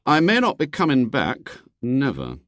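A man's voice: mid-range voice, wildly swooping pitch, measured pace, a bit bright, dry recording, studio quality, voice pushed up. A man voicing a sulky, aggrieved schoolboy in a storybook reading, petulant and indignant.

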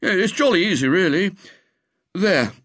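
A brash schoolboy ringleader from a children's storybook, eager and airily confident. A man plays him with a raised, lively pitch. The read is dry and close. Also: normal speed, no room sound. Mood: breezy.

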